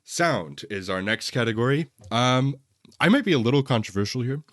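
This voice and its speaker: A man's voice: mid-range voice, animated, moderate pace, no room sound, studio quality. A man hosting a film review podcast, talking casually and giving hedged personal opinions in a conversational way. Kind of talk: casual movie discussion.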